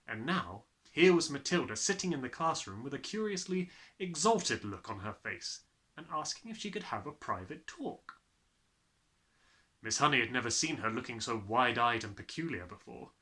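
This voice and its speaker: A man narrating a children's book aloud in an expressive storytelling style. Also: medium pitch, moderate pace. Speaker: audiobook narrator.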